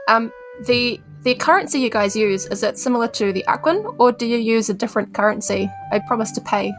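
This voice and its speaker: New Zealand woman, slightly high voice, casual role-play podcast player over a light music bed. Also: animated, brisk. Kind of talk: casual in-character game chat.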